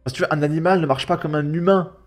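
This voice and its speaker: A man's voice: on the high side, racing. Sarcastic, exasperated young Frenchman over music. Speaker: YouTube commentator. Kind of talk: casual mocking reaction commentary. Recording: music behind the voice. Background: background music.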